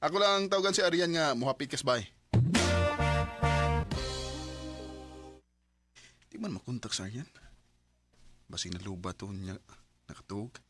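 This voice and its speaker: An actor playing an anguished young boyfriend in a radio drama, speaking emotively and colloquially with a Cebuano Filipino accent. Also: male voice, medium pitch, a little fast, lightly acted. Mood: worried. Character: anguished young boyfriend torn by temptation and betrayal.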